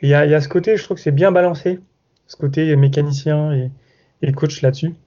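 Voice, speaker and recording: A man's voice: fairly high pitch, steady tone, quick pace, warm tone, lo-fi sound. A man hosting a podcast, speaking French as a second language, musing aloud and searching for his words. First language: not French. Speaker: podcast host. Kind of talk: casual podcast conversation.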